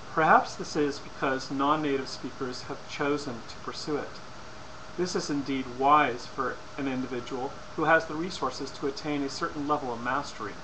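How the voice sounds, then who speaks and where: A man reading a scripted persuasive lecture in a formal, deliberate way, occasionally stumbling over words. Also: medium pitch. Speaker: presenter. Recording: clearly noisy amateur recording.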